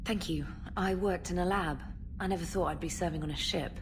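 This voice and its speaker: A woman's voice: on the low side, a little fast, lightly acted. Woman playing an eager, flustered comms specialist, noisy ambience. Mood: nervous, earnest. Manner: polite, formal, slightly flustered. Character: eager, nervous young female military comms specialist. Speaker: communications specialist. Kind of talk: video game character dialogue.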